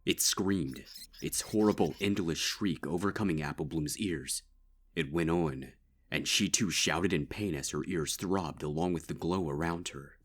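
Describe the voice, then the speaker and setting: Very deep-voiced male narrator. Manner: measured, grave storytelling. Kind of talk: dark fanfic audiobook narration.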